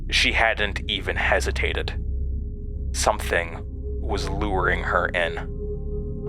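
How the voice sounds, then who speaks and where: An actor playing a haunted, anxious man who narrates his past hesitantly in a horror audio drama. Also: on the low side.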